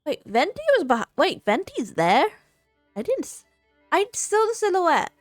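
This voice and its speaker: A woman livestreaming a game, reacting casually in halting, murmured asides. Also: high voice, big pitch swings, no echo, faint music behind. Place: indoors, streaming over game audio.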